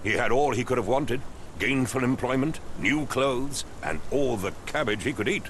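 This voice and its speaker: An actor playing a pompous, impatient middle-aged captain in a video game, with an English accent and clipped, condescending speech. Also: male voice, medium pitch, moderate pace, fairly bright tone, slight background noise, natural voice. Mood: dismissive. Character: pompous, impatient male English captain, haughty wealthy master.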